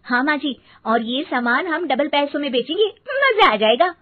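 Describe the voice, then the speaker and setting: A woman's voice: high voice, lively intonation, moderate pace, no room sound, phone-quality sound, voice pushed up. A woman voicing an eager, anxious young daughter-in-law in a cartoon story.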